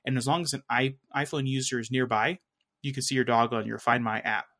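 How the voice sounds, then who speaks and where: A man's voice: medium pitch, fairly even intonation, a little fast, a bit bright. A young adult man co-hosting a podcast, talking casually about tech with lots of fillers and restarts. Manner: rambling, full of fillers and restarts. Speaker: podcast co-host.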